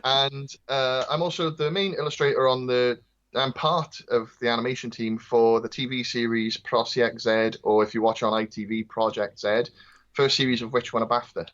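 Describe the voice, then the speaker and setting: A man with a Welsh accent, a podcast guest and comic artist, chatting in a soft, light, self-deprecating way. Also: mid-range voice, steady tone, normal speed, lo-fi sound. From Wales. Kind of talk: casual chat.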